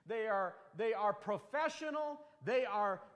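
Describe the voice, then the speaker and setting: High-voiced preacher in a reverberant hall. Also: male voice, animated, measured pace, a bit bright. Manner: folksy storytelling with jokes and asides. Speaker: pastor. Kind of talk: sermon. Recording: long reverb of a large hall.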